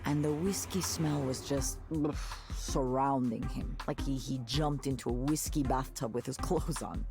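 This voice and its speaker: An actress playing a candid, jaded former tech worker in a visual novel, conversational and sardonic with disgusted asides. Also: female voice, very deep voice, fairly even intonation, a little slow, over music. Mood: incredulous, disgusted. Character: candid, jaded woman ex-tech worker telling a wry story. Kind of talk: naturalistic visual novel voice acting.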